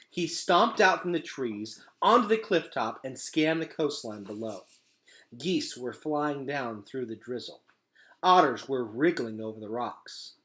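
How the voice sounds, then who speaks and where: A man narrating a children's storybook aloud in a dramatic, emphatic way, dropping to a hush at times. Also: a little high. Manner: dramatic, emphatic delivery with hushed drops.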